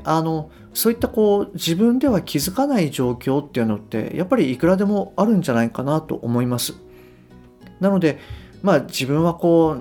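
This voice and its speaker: A middle-aged man talking unscripted as a podcast host and coach, easygoing and unhurried, explaining things to the listener with gentle emphasis and hesitant phrasing. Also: a little high. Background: guitar music.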